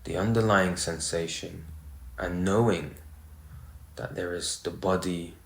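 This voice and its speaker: A man with a deep voice leads a guided meditation in a roomy, echoing space. He speaks very slowly, setting out short phrases and leaving pauses between them. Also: creaky. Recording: roomy, echoey sound.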